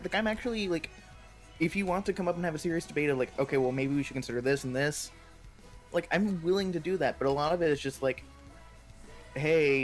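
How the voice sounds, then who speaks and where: An American man co-hosting a casual chat stream, talking in a conversational, hedging way. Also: a little high. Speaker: stream co-host.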